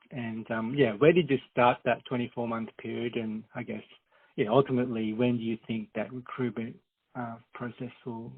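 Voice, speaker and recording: A man's voice: medium pitch, creaky, husky. A man calling in as an investor, asking questions in a casual, conversational way over the phone. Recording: dull lo-fi phone connection.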